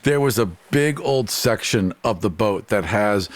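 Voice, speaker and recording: A man's voice: fairly low pitch, lively intonation, measured pace, no echo. Man improvising game narration, dry sound. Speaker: tabletop game player. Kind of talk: casual, improvised narration of character actions.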